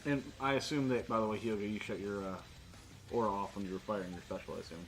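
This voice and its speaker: A man's voice: lowish voice, fairly even intonation, fast talker, faint music behind, rough recording. A man narrating a tabletop role-playing game as game master in a conversational way.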